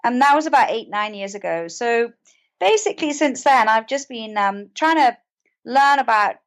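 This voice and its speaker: A middle-aged British woman with a fairly high voice talks as a guest in an interview. She speaks conversationally, as someone giving a personal account. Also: animated, normal speed.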